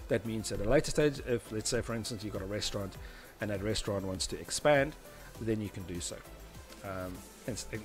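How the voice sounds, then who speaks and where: A man with a fairly low voice explains technical material as a tutorial presenter. His delivery is conversational and somewhat halting, as if talking a learner through each step. Music plays faintly behind him.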